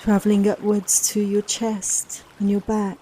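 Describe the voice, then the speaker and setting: A woman leading a guided relaxation meditation in a soft, soothing, unhurried, reassuring manner, with long pauses between phrases. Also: medium pitch, completely flat delivery, a little hiss or hum, poor audio. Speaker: guided meditation and yoga nidra teacher.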